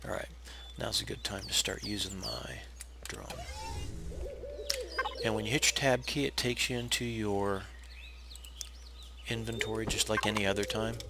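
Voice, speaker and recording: Gravelly guy with a scratchy worn-out voice walking you through a game build, hiss and birds chirping in the back. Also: lowish voice, normal speed, long pauses. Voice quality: raspy. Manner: relaxed, explanatory, with frequent uh fillers and restarts. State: unwell, hoarse. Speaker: game tutorial narrator. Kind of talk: casual step-by-step gameplay tutorial.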